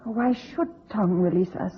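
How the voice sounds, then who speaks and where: A woman's voice: mid-range voice, measured pace. An actress playing a guarded, nervous businesswoman in an old radio detective drama, hesitant and defensive. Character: guarded, nervous young businesswoman held captive. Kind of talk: golden-age radio drama acting. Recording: muffled, rough old radio recording.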